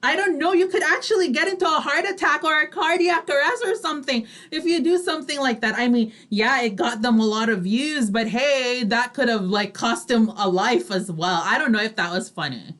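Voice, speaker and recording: A woman's voice: high voice, normal speed, hardly any pauses, fairly bright tone. A woman co-hosting a radio show, chatting casually and conversationally. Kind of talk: casual talk show chat.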